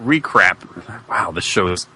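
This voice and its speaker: A man's voice: deep voice, animated, slow pace, pushed and bright, slightly rough. A man in casual comic chat as a podcast co-host, puzzling aloud and often repeating himself.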